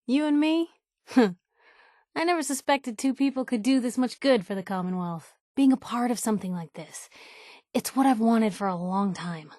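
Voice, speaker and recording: Casting: actress for outspoken young female reporter, game companion; loyal, nosy, wry, conversational, fairly high voice, close dry recording. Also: lively intonation, moderate pace, a little mellow, dry recording, close to an everyday voice. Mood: warm, upbeat. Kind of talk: video game companion dialogue.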